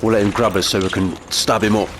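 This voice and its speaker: A man's voice: fairly low pitch, steady tone, quick pace, background noise. A British man with a cold-congested voice, giving chatty, enthusiastic gameplay commentary. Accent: British English. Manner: enthusiastic, chatty, gushing over detail. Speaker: gaming video creator.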